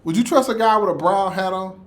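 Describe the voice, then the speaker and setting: A man with a somewhat high voice talks casually as a reaction video host. His speech is slangy and conversational, and he often repeats phrases while working out what he means. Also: fairly quick.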